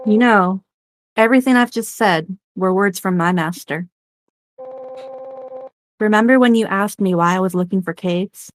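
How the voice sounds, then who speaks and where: A woman's voice: mid-range voice, normal speed, long pauses, dry recording, natural voice, poor audio. A woman playing a young rejected witch, wistful yet teasing, confiding in a conversational way in a game script performance. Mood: light, confiding. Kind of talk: fan-performed game script, dramatic dialogue.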